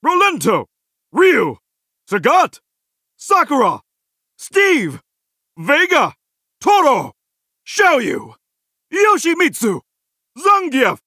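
A goofy middle-aged video game hero, earnest and over-eager. His voice sits very high and swings wildly in pitch, with a bright, forceful edge. Every short battle line comes out as a cheesy heroic exclamation. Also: wildly swooping pitch, drawn-out words, long pauses, no room sound, studio quality, full character voice. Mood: calling out names.

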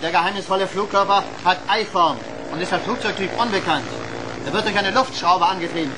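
A man's voice: high voice, fairly quick, noisy background, lightly acted. A man playing a serious radar tower officer in a radio play, reporting formally and precisely. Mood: serious, urgent. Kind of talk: radio-communication acting in children's radio play.

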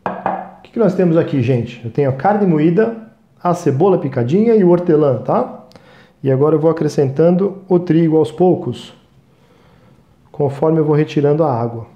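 A man in his thirties with a Brazilian Portuguese accent, hosting a cooking show and chatting to viewers as he works, often checking in with a quick "right?". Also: on the high side, expressive, echoey room, muffled recording. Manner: chatty, talks to viewers as "gente", frequent "tá?" checks. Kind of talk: cooking demonstration. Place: small studio kitchen set.

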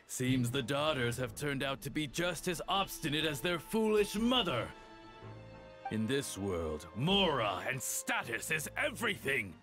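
A man's voice: medium pitch, very animated, unhurried, pushed and bright, faint music behind. An actor playing a smug, cruel aristocratic father who is the villain, speaking theatrically with a sneer. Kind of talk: video game voice acting.

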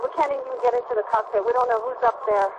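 Woman, flight attendant, highish pitch, halting controlled urgency, emergency call, noisy old telephone recording. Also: highs cut off. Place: aboard an airliner in flight. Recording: noisy archival phone recording.

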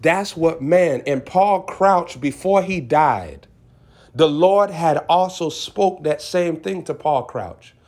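A man's voice: on the high side, animated, slow, darkish tone. A man preaching as a self-styled prophet in an impassioned exhortation, emphatic and repeating short phrases for effect.

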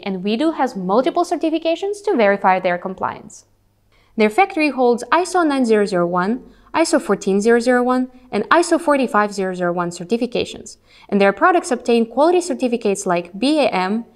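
A young woman presents a factory tour in a clear, scripted style. Her voice sits a little high. She is recorded on a lapel mic inside a big indoor factory hall. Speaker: host.

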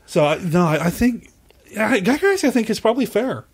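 A man's voice: high voice, very animated, a little fast, slightly rough. A man hosting a podcast, discussing film in a casual, conversational way with joking asides. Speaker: podcast host.

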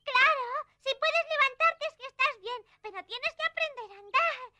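Una actriz de doblaje con acento castellano interpreta a una niña de montaña entusiasta, cariñosa e insistente en dibujos animados. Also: female voice, very high voice, normal speed, sharp tone, dry recording, full character voice. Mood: eager, encouraging. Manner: insistent, encouraging, exclamatory. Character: eager, caring, optimistic little mountain girl. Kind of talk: dubbed cartoon acting.

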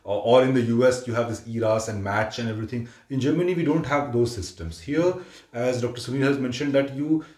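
A man's voice: mid-range voice, fairly quick. A man in his thirties from India, hosting a casual interview from a living-room sofa, explaining things with fillers and restarts. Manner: relaxed, explanatory, with frequent filler sounds and restarts. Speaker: interviewer and channel host. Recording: echoey room.

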